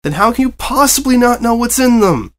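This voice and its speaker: A man's voice: very high-pitched, lively intonation, fairly quick, voice pushed up. A man voicing a flustered, uptight male reporter pony in a storybook reading, speaking in a fussy, exasperated way. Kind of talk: fan fiction reading.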